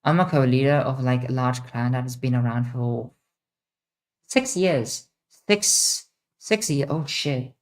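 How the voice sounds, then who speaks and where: A young woman with a mixed international accent, answering chat questions on a casual livestream, blunt and quick to swear. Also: rumbling low, a little mellow. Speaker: streamer.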